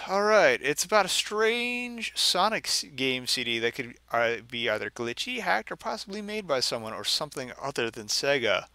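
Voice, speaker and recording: A man with a very bright, forceful voice reads aloud and comments casually in a game stream. His delivery swings widely in pitch, sarcastic and exasperated, and the sound is close and dry. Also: very animated, normal speed, no echo. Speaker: video game streamer reading a story aloud. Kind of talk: mocking dramatic reading with casual commentary.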